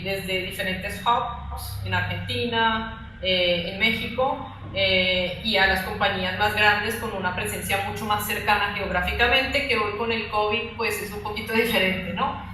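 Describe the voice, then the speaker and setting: A woman's voice: mid-range voice, sharp tone. A woman executive giving explanatory answers as an interview guest, often ending phrases with a questioning tag. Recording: very echoey room, faint background noise.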